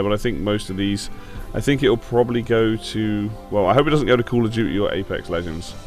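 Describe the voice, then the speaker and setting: A man hosting a gaming show with casual, blunt opinion commentary, slightly croaky from a sore throat. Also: fairly low pitch.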